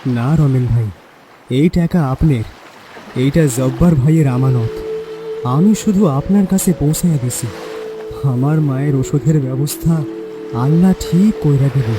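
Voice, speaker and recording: Guy doing honest young boatman, highish emphatic voice, rural bangladeshi accent, earnest, audio story w music behind. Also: unhurried, long pauses, natural voice. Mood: earnest, respectful. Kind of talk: audio horror story acting.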